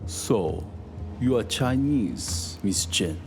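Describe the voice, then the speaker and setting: Slow, menacing military commander, low-voiced. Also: male voice, expressive, a little mellow, heavily acted. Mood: suspicious, formal. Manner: deliberate, formal, coolly threatening. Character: menacing, suspicious Japanese naval commander, coldly polite. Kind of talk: audio drama acting. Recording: music under the dialogue.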